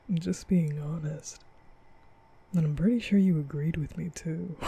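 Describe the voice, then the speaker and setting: A man playing a nervous, gentle young vampire in an intimate ASMR roleplay, speaking hesitantly in a breathy half-whisper. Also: fairly high pitch, full character voice. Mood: teasing. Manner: hesitant, stammering, slow.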